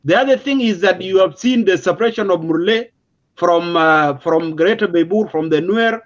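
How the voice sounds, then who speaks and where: Male political commentator, South Sudanese accent, high-set voice, emphatic and repetitive explainer, muffled low-fidelity recording. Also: normal speed. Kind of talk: unscripted explanatory political discussion. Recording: dull, lo-fi audio.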